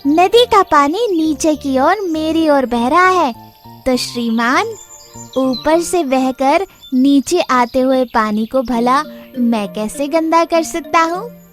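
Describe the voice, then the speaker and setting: A woman's voice: high-pitched, expressive, soft background music. A woman voicing a small, innocent, frightened young lamb in a children's story, meek, polite and pleading. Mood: timid, reasoning. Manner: meek, pleading, slow and deferential.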